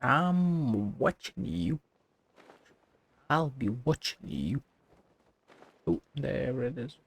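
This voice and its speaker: A man's voice: medium pitch, sing-song, very slow, slightly rough. A man giving casual, offhand game commentary and reading text aloud, muttering now and then. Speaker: video game streamer.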